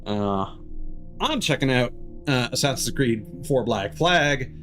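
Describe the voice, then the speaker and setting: A man's voice: mid-range voice, lively intonation, slow pace, a bit bright, soft background music. A man doing casual live stream commentary on a game, rambling and swearing casually.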